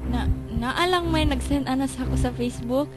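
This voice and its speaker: A woman acting high-voiced, obsessive young Filipina. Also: normal speed, natural voice. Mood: guarded, casual. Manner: intense, swinging between casual and unhinged. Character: obsessive, possessive young woman hiding deadly jealous love. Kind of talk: melodramatic radio drama acting. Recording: music under the voice.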